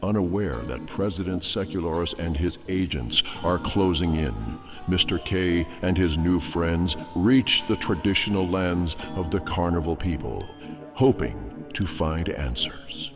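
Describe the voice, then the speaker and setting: A man's voice: sits low, unhurried, music in the background. A man acting as the grave narrator of an audio drama, reading a dramatic scripted recap. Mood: grave, ominous.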